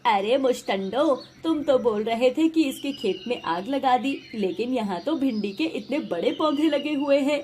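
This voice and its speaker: A woman voicing a greedy, domineering male village moneylender in an animated moral story, scolding loudly. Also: sits high, a little slow, few pauses, slight background noise. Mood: angry, scolding. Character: rich greedy bullying village moneylender man.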